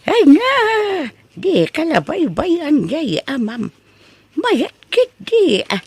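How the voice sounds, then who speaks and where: Woman playing a very high, creaky, scolding old spinster. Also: wildly swooping pitch, slow pace, darkish tone, no echo, heavily acted. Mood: amused scolding. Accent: Ilocano. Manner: exclaiming, teasing, breaking into cackles. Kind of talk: Ilocano comedy audio drama acting.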